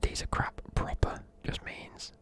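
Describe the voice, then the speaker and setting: A man, whispering softly in a chatty, rambling way while explaining words. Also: a little slow. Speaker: ASMR creator. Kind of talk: whispered ASMR explaining slang.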